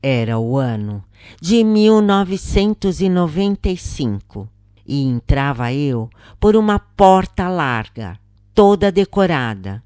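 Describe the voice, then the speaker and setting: A woman with a Brazilian accent reading a scripted first-person spiritual account in a solemn, measured manner, with deliberate pauses. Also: sits low, sing-song, dark tone, smooth, no echo, clean studio sound.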